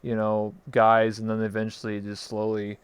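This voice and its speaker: Rambling talk show host with a smooth voice. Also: male voice, on the low side, monotone, normal speed, dry recording. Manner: rambling, filler-heavy. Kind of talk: casual interview chat.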